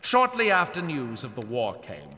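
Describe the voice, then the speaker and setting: An actor playing a grave lord treasurer who makes accusations in formal, measured courtly speech in a fantasy audio drama. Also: male voice, medium pitch, huge pitch swings, sharp tone. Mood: defiant accusation. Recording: echoing hall with long reverb.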